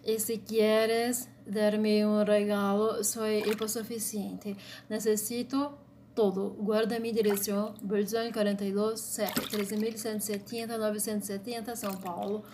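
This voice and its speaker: Slow-reading woman with Brazilian Portuguese accent. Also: mid-range voice, flat delivery, darkish tone, slight background noise. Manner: slow, deliberate reading in short phrases. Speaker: blogger. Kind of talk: read-aloud commentary.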